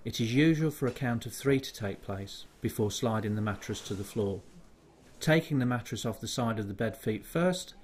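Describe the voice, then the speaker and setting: A man reading a scripted voice-over for a healthcare evacuation training video, measured and formal. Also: mid-range voice. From United Kingdom.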